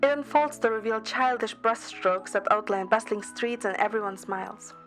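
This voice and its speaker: A woman's voice: a little high, normal speed. Woman narrating a story over music. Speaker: amateur narrator and voice reader. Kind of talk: scripted first-person story narration. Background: background music.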